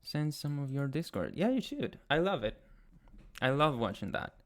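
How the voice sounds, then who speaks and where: A young man from Chile with a Chilean Spanish accent, hosting a casual livestream and answering chat in a soft, hesitant way with many pauses. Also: medium pitch, sing-song. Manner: soft-spoken, hesitant, many ums and restarts. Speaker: ASMR channel host. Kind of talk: casual livestream chat, reading viewer comments.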